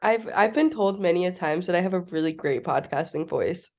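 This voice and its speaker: A woman's voice: lowish voice, lively intonation, fairly quick. A young woman who is a graduate student, guesting on a podcast, chatting in a bubbly way, saying "like" often and laughing. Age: young adult. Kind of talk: casual interview chat.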